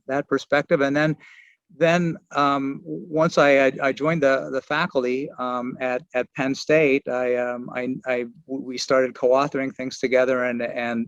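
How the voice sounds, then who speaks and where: A man in his sixties giving memorial remarks over a remote video call, halting and often restarting his sentences. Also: medium pitch, no echo. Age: 60s. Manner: halting, searching for words with many restarts. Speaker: archaeology and geography professor giving a memorial tribute. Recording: dull lo-fi call audio.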